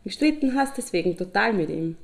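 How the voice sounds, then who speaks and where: A woman's voice: medium pitch, big pitch swings, fairly quick, vocal fry. An actress playing a chatty woman with an Austrian accent who bickers insistently in a naturalistic radio drama. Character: chatty woman bickering with her partner about an old friend.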